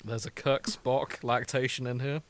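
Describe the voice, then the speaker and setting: A man's voice: medium pitch, fairly even intonation, measured pace, a bit bright. A British man co-hosting a podcast in a casual, comedic chat, amused and prone to giggling. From Britain. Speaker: podcast co-host.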